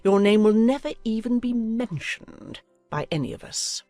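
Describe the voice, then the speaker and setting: A woman's voice: a little high, expressive, normal speed, mellow, no room sound, soft background music, lightly acted. Woman playing a haughty, imperious older aristocratic lady, close and dry. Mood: scornful. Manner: imperious, declamatory, emphatic. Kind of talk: dramatized audiobook acting.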